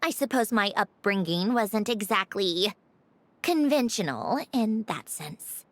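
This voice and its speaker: A woman's voice: medium pitch, lively intonation, slow pace, slight background noise. An actress playing a brainy, nonchalant young woman inventor in a video game, speaking in a wry, matter-of-fact, reflective way. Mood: dryly casual. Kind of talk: video game character dialogue. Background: background music.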